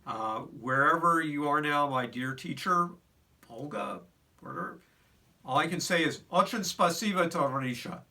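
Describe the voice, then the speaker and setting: A man's voice: fairly high pitch, normal speed, pushed and bright, recorded from a distance. An older man telling a reflective personal story in a conversational way, with fillers and restarts.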